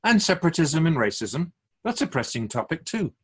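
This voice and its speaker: A man playing a wise, otherworldly spirit in a radio drama, patient and gently teasing, speaking in a measured, formal way with musing questions. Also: fairly high pitch, very animated, breathy edge, full character voice.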